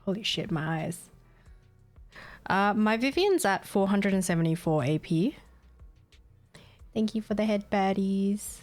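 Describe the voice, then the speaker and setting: A woman's voice: mid-range voice, lively intonation, a little slow, pauses often, darkish tone, faint music behind. Tired giggly woman streamer. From Australia. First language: Vietnamese. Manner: tired, scatterbrained, giggly, reads chat aloud. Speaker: game streamer. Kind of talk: casual live-stream chat while gaming.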